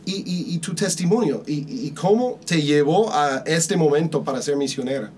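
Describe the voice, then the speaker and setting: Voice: medium pitch, expressive, moderate pace, darkish tone, slight background noise. A host interviewing guests in Spanish, upbeat and often repeating words as a sentence starts.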